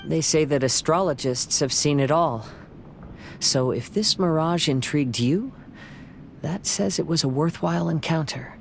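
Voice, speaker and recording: An actor playing a serene young wandering samurai poet in a game, speaking gently in a measured, poetic way. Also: male voice, on the high side, vocal fry, a little hiss or hum. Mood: calm.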